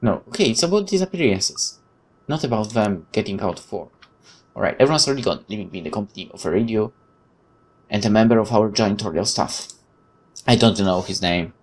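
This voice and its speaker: A man's voice: on the low side, animated, brisk. A man reading visual novel narration aloud dramatically, with casual asides as a gaming host.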